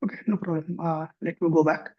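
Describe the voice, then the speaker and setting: A man demonstrating finance software on a shared screen, thinking aloud with frequent restarts. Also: fairly high pitch, steady tone, a little fast. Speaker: software instructor. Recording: muffled lo-fi computer mic.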